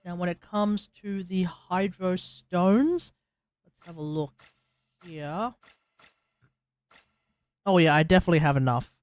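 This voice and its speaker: A man's voice: high-pitched, measured pace, pauses often, dry recording. A man hosting a casual gaming livestream, rambling and thinking aloud. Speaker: livestream host.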